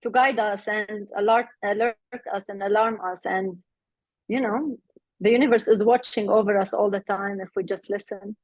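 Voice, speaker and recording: A woman's voice: medium pitch, steady tone, moderate pace, no echo, rough recording. A middle-aged woman from Lebanon, a guest in an interview, telling her story in a friendly way and laughing often. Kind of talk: interview conversation.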